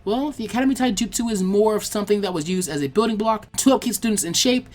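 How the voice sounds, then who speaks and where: Bookish teenage girl apprentice, done by a man, high-pitched, courteous and helpful, for story narration. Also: fast, faint music behind, lightly acted. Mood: friendly. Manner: polite, eager to help, bookish. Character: studious, polite teenage girl library apprentice. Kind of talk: fan fiction audiobook character voice.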